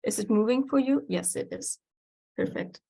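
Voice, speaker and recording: Creaky-voiced woman lecturing online. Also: fairly high pitch, normal speed, dark tone. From Germany. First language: German. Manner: hesitant, many fillers and restarts. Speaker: guest lecturer. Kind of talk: online workshop presentation. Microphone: video call.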